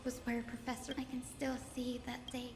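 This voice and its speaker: An actress playing a gentle, earnest young woman in a video game, with a polite and sweet manner. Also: on the high side, monotone, normal speed, heavy background noise, lightly acted. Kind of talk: dramatic video game voice acting. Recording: echoey reverb with music behind.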